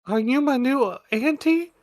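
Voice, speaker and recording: A man puts on an innocent little girl for a tabletop fantasy game. The voice leaps very high and is full of childlike, wide-eyed wonder. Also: expressive, normal speed. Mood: worried.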